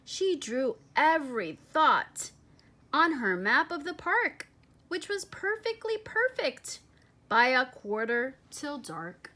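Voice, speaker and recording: A woman reads a children's picture book aloud in a high-pitched voice. Her pace is very unhurried, and she phrases each part deliberately, with expressive emphasis as a storyteller.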